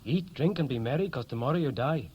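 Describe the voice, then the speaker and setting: A restless young Welshman, played by a male actor in a sixties radio drama. His pitch swings freely as he hesitates and corrects himself, half shy and half boastful. The old recording carries clear background noise. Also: lively intonation, fast, muffled recording, lightly acted. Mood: casual, animated. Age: young adult. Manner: hesitant, colloquial, self-correcting. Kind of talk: 1960s radio play acting, naturalistic dialogue. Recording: noisy vintage 1960s radio recording.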